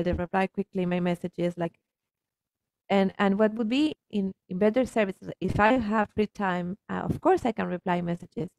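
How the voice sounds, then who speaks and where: Casting: Argentine-accented woman, native Spanish speaker, earnest coaching talk, mid pitch, gravelly fry, dry close sound. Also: a little mellow, no echo. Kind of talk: reflective coaching conversation.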